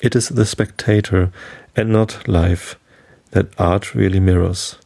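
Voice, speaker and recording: Deep gravelly man with a German accent. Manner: slow, halting, calm reading with some slips. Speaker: podcast host reading aloud. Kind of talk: sleep podcast reading.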